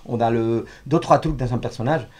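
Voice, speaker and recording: A man's voice: mid-range voice, a little hiss or hum. A man chatting casually as an interview guest, often repeating and correcting himself as he searches for words. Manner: halting, repetitive phrasing with self-corrections. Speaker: guest cartoon artist. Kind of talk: casual chat.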